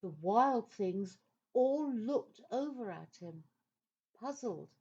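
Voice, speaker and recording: A woman's voice: a little high, lively intonation, slow, darkish tone, breathy edge, highs cut off. A woman narrating a scripted children's story as part of an audio play.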